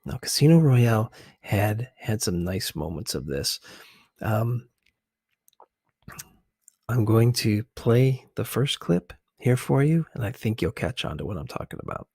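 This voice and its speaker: Hushed, husky low-voiced man. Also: big pitch swings, no room sound, clean studio sound. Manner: hesitant, halting, searching for words. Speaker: video host. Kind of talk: ASMR movie commentary, conversational.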